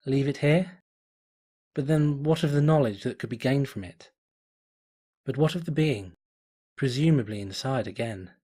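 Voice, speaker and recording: A man narrates a fan fiction story in a measured, deliberate way. His voice is husky and sits at a middle pitch. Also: darkish tone.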